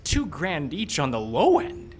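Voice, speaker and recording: An actor playing a hot-headed, suspicious man, excitable and stammering, in live old-time radio style. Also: on the high side, measured pace, a little hiss or hum. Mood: awed. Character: hot-headed, suspicious, desperate aspiring filmmaker with a gun.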